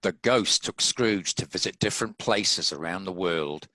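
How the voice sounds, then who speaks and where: A man's voice: deep voice, unhurried, bright, forceful tone, no room sound, low-quality recording. A man reading scripted story narration as the narrator of a dramatic table read.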